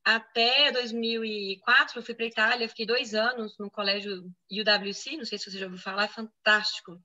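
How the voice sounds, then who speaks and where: A woman's voice: fairly high pitch, steady tone, fairly quick, bright, forceful tone, highs cut off. A woman in her thirties with a Brazilian Portuguese accent from Minas Gerais, answering interview questions in a chatty way with frequent "né?" tags. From Venezuela, raised in Brazil. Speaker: physician guest.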